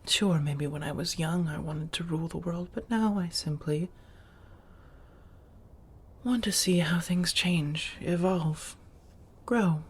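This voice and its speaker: A woman voicing a centuries-old, genteel and affectionate vampire housemate in a soft-spoken ASMR roleplay, with a husky, breathy voice and measured, old-fashioned phrasing. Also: fairly low pitch, exaggerated voice. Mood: reflective, genteel.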